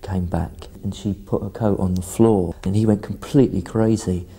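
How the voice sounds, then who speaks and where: A man's voice: low-pitched, fairly even intonation, normal speed, vocal fry, warm tone, husky. A man from a Navy family recounting childhood memories in a casual, storytelling way. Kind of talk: documentary interview.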